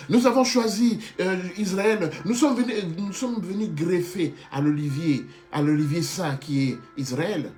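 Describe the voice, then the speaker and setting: A man's voice: sits high, measured pace, darkish tone, faint music behind. Impassioned preacher man, many restarts. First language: not Hebrew. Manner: keeps addressing listeners as beloved. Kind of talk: sermon.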